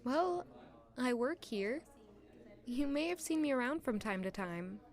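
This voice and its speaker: A woman's voice: high voice, lively intonation, measured pace. A woman playing a reserved, modest and wistful young palace maid in an audio drama, with a polite, understated way of talking. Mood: gently reassuring. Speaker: narrator and lead character of audio drama. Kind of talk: audio drama acting with first-person narration.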